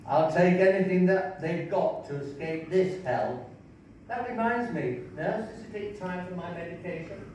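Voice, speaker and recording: Older guy doing a miserable sarcastic psych patient in a play, grumbles, fairly high voice, super echoey and far from mic. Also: a little slow, a little mellow. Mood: bitter grumbling. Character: grumpy, cynical, depressed male psychiatric patient. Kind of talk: stage play script reading. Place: large echoey room, mic at a distance. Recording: very echoey, distant, faintly noisy room.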